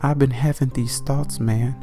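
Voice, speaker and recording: A man's voice: mid-range voice, steady tone, unhurried, dark tone, faint music behind, pitched above the performer's own voice, natural voice. A man voicing a troubled, downcast teenage boy in a subdued, confiding way for a bedtime horror story.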